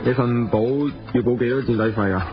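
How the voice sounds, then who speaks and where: An actor playing a pragmatic, sceptical but caring friend in a radio drama, matter-of-fact and sighing, with a Hong Kong Cantonese accent. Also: male voice, mid-range voice, normal speed, a little hiss or hum, natural voice. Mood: concerned, resigned. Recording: dull lo-fi radio audio with faint music.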